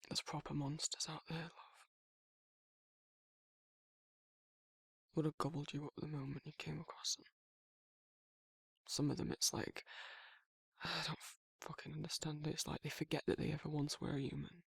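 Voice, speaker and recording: A man playing a protective, awkward but tender werewolf in an intimate ASMR roleplay, speaking in a hesitant, husky British murmur. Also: fairly high pitch, flat delivery, dry recording. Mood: quietly indignant. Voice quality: husky, gravelly, breathy. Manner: halting, hesitant, self-correcting, softly murmured.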